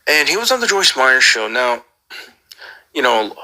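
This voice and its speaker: A man's voice: medium pitch, very animated, a little slow, bright, forceful tone. A man on a casual call, asking earnest questions in a hesitant way and often repeating his phrases. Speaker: caller.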